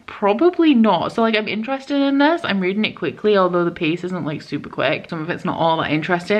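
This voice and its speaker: A young woman chatting casually at home about the book she is reading, filling her talk with "like" and speaking candidly. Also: sits low. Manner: chatty, lots of "like" fillers, candid and blunt. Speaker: book vlogger. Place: at home, small room.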